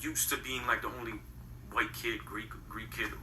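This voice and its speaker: A man's voice: medium pitch, steady tone, moderate pace, sharp tone, echoey room, distant mic, slight background noise. A man in his thirties from Connecticut, telling his story in a rambling, casual way full of slang. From Connecticut, USA. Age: 30s. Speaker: guest. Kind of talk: casual interview.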